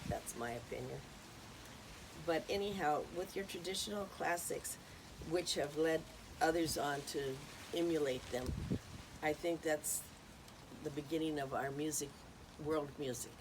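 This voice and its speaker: A woman's voice: deep voice, measured pace, background noise. A woman hosting an informal talk on music history, rambling and chatty with many ums and uhs. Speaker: host.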